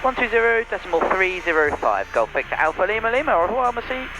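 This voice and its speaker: Guy pilot doing quick radio calls, slightly high voice, headset sound. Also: big pitch swings, music in the background, poor audio. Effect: aircraft radio headset. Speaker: private pilot and vlogger. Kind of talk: clipped radio calls to air traffic control. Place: light aircraft cockpit in flight. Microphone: aviation headset.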